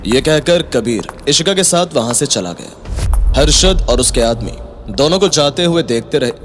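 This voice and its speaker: A man's voice: medium pitch, expressive, normal speed, a bit bright, slight background noise. A man giving scripted, dramatic narration of an audio story.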